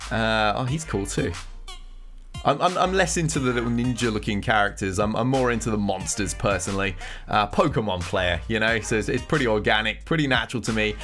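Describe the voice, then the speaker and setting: A man's voice: lowish voice, brisk, a bit bright, faint music behind. A man with a cold and a nasal voice, giving casual commentary on game news as a gaming content creator. He rambles and thinks aloud as he reacts.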